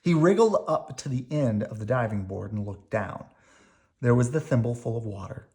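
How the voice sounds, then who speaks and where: A woman reading a picture book aloud as an expressive narrator for children. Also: extremely low pitch, normal speed. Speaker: storybook reader.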